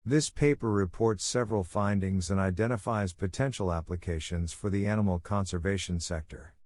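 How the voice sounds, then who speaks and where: A man reading scripted academic passages aloud in a measured, careful, formal way. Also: sits low, a little mellow, no echo. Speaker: reader of model read-aloud test passages.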